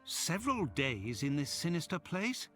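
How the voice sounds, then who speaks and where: Courteous uneasy sidekick, lighter-pitched male voice, lively swings, formal phrasing, game cutscene. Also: measured pace, soft background music. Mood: uneasy concern. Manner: genteel, expressive, earnest. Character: polite, uneasy gentleman sidekick to a detective. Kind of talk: video game cutscene voice acting.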